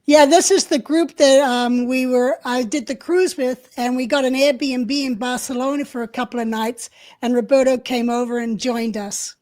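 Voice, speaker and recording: A woman's voice: sits high, fairly even intonation, normal speed, a little mellow. A woman co-hosting an interview channel, chatty and adding friendly explanations. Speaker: podcast co-host. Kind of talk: casual interview.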